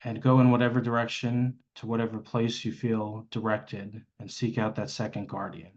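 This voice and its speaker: A man with a fairly low voice leads a guided meditation on a live stream. He feels his way through the instructions, often pausing mid-phrase before carrying on. The sound is slightly muffled and lo-fi. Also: level tone, darkish tone.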